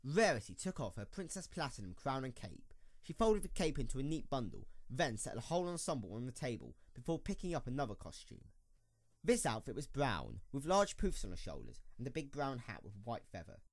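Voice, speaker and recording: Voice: medium pitch, sing-song, a little fast, dry recording, studio quality. A narrator reading scripted storybook-style narration for an amateur audio drama.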